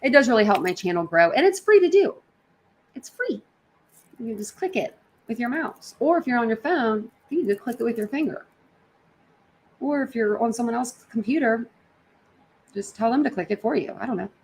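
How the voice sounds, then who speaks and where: A woman's voice: fairly high pitch, animated, moderate pace, pauses often, darkish tone. A woman hosting a livestream, chatting casually with viewers in a rambling, informal way full of slang. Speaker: livestream host. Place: indoors in an apartment.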